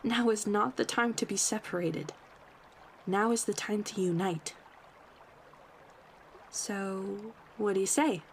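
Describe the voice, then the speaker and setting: Woman voicing a solemn talking forest rabbit, light background noise. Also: medium pitch. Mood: pleading. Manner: earnest, formal storytelling in measured phrases. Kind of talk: scripted fantasy roleplay monologue.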